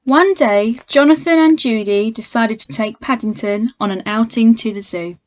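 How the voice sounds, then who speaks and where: A woman with a slightly high voice reads a storybook to young children. She is expressive and speaks straight to her listeners, like a primary school teacher. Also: normal speed, a little hiss or hum. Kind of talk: children's storybook read-aloud.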